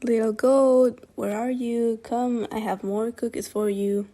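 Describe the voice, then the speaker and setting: A woman's voice: a little high, level tone, measured pace, warm tone, natural voice. A woman playing a curious, innocent six-year-old girl in an amateur radio drama. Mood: eager.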